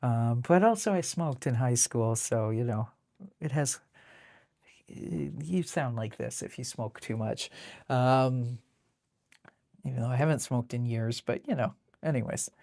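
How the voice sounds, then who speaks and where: A woman with a low contralto voice hosts a casual live drawing stream. She rambles in an easy conversational style, often interrupting herself mid-thought. The sound is dry, with very little room echo. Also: a little slow, gravelly, dark tone. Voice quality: deep contralto voice. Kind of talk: casual chat while drawing.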